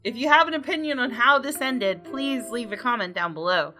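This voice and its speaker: A woman's voice: a little high, brisk. A young woman hosting a gaming channel, chatting informally to her viewers during a let's play. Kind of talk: casual let's play commentary with some read-aloud visual novel narration. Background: game music.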